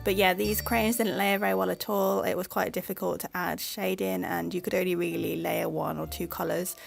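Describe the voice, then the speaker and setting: Woman, art vlog host with a cold, gravelly and croaky, chatty self-deprecating narration, soft music underneath. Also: on the low side, flat delivery, moderate pace. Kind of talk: casual voice-over narration.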